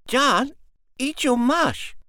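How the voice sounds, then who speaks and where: A man's voice: extremely high pitch, expressive, extremely slow, pushed and bright, dry recording, studio quality, pitched above the performer's own voice, full character voice. A man voicing a persistent four-year-old girl with a small piping voice. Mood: nagging.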